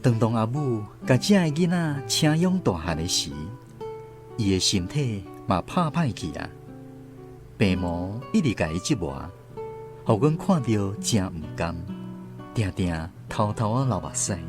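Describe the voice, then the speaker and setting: A man's voice: fairly low pitch, sing-song, unhurried. A man playing a grown child looking back fondly, narrating a literary radio drama with a Taiwanese accent. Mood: sorrowful. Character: grown child fondly remembering a hardworking mother. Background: soft guitar music.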